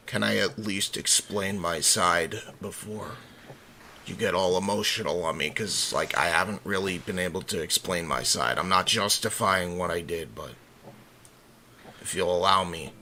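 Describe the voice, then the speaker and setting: A man playing a defensive, hurt teenage son in an audio drama, speaking haltingly and earnestly, protective of his family. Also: low-pitched, sharp tone, a little hiss or hum, full character voice. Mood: hurt, confessing. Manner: halting, hesitant, earnest confession. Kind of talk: amateur audio drama roleplay. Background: music.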